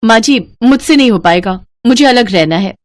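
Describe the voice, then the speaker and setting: A woman's voice: on the high side, lively intonation, normal speed, dry recording, lightly acted. A woman voicing a young, overworked newly married daughter-in-law in a cartoon story, earnest and easily fed up. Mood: fed up, insistent. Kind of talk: Hindi cartoon story dialogue.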